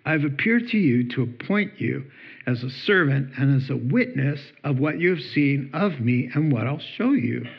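A man's voice: medium pitch, lively intonation, normal speed, creaky, slightly rough, highs cut off. A man voicing a commanding divine figure who confronts a persecutor bluntly and informally.

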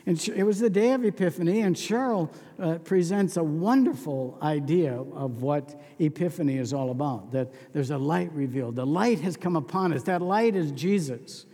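A man's voice: fairly high pitch, animated, moderate pace, hardly any pauses, dark tone, husky, long reverb tail. A man preaching a sermon as pastor, telling stories in a folksy, conversational way.

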